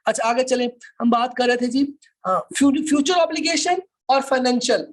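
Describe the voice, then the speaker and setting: A woman's voice: sits high, fairly even intonation, a little fast. A woman with a Pakistani accent lecturing on an online class, reading formal text aloud and explaining it with frequent short check-in tags. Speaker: law lecturer.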